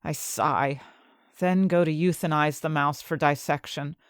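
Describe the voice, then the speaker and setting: An actress playing an earnest, anxious young woman chemist in a fantasy audio drama, confiding her own story in an expressive way. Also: sits low, unhurried, dry recording, clean studio sound. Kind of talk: first-person scripted narration in fantasy audio drama.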